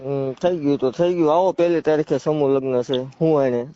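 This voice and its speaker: A man's voice: fairly high pitch, fairly quick, mellow, dry recording. Soothing man on a muffled phone call. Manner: placating, keeps inviting the caller over. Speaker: faith healer taking a call.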